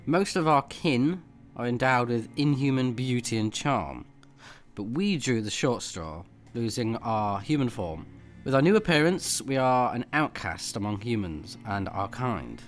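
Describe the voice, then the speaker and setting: A man's voice: mid-range voice, big pitch swings, unhurried, soft background music. A man recording a let's play, switching between casual off-the-cuff commentary and reading game text aloud. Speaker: let's player, game streamer.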